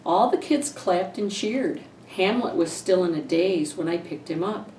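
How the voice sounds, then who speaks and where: Lower-voiced woman reading in echoey room. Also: a little slow, darkish tone. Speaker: school staff member reading aloud. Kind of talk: children's storybook narration. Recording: echoey room, distant mic, faint noise.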